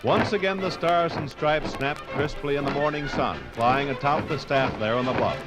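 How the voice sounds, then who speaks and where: Male documentary narrator, 1950s radio: solemn, emphatic, slightly high register over prominent music. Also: moderate pace, low-quality recording.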